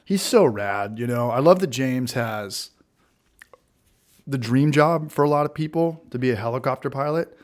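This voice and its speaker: A man's voice: medium pitch, lively intonation, moderate pace. A man in his late thirties co-hosting a casual beer livestream, rambling and chatty as he greets the chat. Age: 30s. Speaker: livestream co-host.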